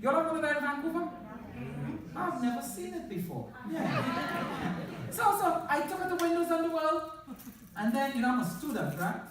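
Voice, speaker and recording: A man's voice: extremely high pitch, moderate pace, pauses often, noisy background. A man with a Trinidadian accent gives a humorous talk to a live audience, laughing often and telling stories with lots of animation. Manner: laughs often, animated storytelling, asks the crowd. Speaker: motivational speaker. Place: large hall in front of an audience. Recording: echoey hall, voice from far off.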